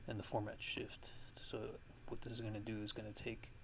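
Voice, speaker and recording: A man narrating a casual software tutorial as a music production host, speaking haltingly as he thinks aloud. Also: on the low side, completely flat delivery.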